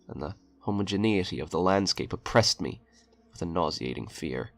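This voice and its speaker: A man reading a horror short story aloud in a slow, grave, brooding way. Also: deep voice, vocal fry. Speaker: narrator.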